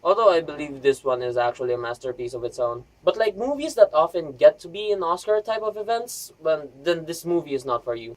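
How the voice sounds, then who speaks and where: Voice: medium pitch, rough recording. A vlogger giving an enthusiastic, scripted review in a chatty, emphatic way with rhetorical asides.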